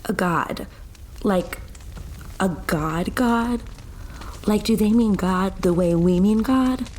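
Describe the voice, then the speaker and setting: A woman's voice: mid-range voice, slow pace, darkish tone, slight background noise, lightly acted. An actress playing a direct, supportive young woman who swears casually. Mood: reassuring.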